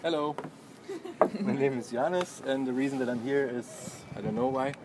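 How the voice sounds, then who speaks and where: A man's voice: mid-range voice, moderate pace. A man informally moderating a live panel discussion, putting questions to the guests. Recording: very noisy event, vehicle sounds behind.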